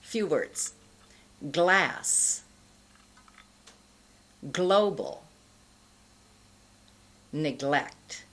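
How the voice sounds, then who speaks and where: A middle-aged woman giving an instructional pronunciation lesson to camera, enunciating deliberately and carefully. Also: low-pitched, expressive, a bit bright. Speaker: pronunciation teacher.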